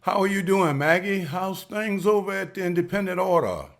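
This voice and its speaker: A man's voice: high-pitched, normal speed, creaky. A man reading the part of a genial, chatty businessman in an amateur table read. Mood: friendly. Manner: folksy, chatty, lively. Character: genial older businessman, banker.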